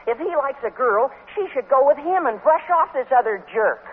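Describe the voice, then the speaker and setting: A man playing a brash, squeaky adolescent schoolboy in a 1950s radio comedy. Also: expressive, normal speed, slightly rough, full character voice. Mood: brash, indignant. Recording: tinny, hissy 1950s radio broadcast.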